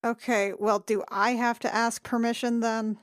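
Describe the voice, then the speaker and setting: A woman's voice: on the high side, little pitch movement, normal speed, no echo, lightly acted. A woman playing an anxious, sardonic adventurer in a tabletop game, dry and easily flustered.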